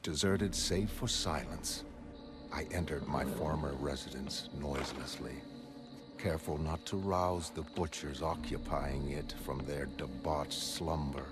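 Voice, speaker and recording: A man performing a grim, obsessive vigilante in a motion comic, with clipped, deadpan noir delivery that drops small words. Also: extremely low pitch, a little slow, loud music behind the voice. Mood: brooding, menacing.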